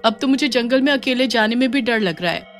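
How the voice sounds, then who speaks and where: A woman's voice: on the high side, fairly quick, soft background music, close to an everyday voice. A woman playing an innocent-seeming, timid village newcomer in a horror story. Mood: feigned innocence. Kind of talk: animated horror story dialogue.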